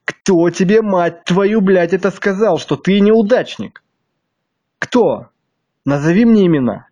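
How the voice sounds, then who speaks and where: A man's voice: sits high, expressive, slow, mellow, muffled recording. A man with a slightly stuffy nose delivering a blunt, crude monologue to his viewers, hammering them with rhetorical questions. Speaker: video blogger.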